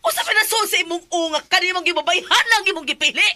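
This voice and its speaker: A woman's voice: very high voice, fast, bright, forceful tone, exaggerated voice. A woman voicing a domineering, disapproving mother in a radio drama, scolding her son. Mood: angry. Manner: scornful, scolding.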